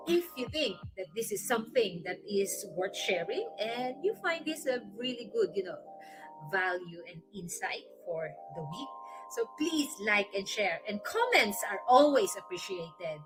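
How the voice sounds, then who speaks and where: A woman with a Filipino accent hosting a weekly inspirational talk segment, explaining ideas gently like a teacher with soft laughs. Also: a little high, big pitch swings, normal speed, over music, poor audio. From Philippines. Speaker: host.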